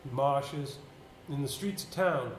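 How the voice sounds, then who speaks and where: A man's voice: medium pitch, close to an everyday voice. Man playing a grave, echoey haunted-village storyteller. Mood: grim, haunted. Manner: halting, solemn storytelling. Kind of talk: stage play dialogue. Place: indoor theatre stage. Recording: echoey amateur stage, faint noise.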